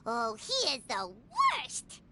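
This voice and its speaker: A woman's voice: high voice, wildly swooping pitch, takes it slowly, very noisy, heavily acted. A woman voicing a tiny, childlike floating fairy companion in a video game, blunt and full of exclamations. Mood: indignant. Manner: childlike, exclamatory, blunt, speaks of herself by name. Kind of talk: video game character acting.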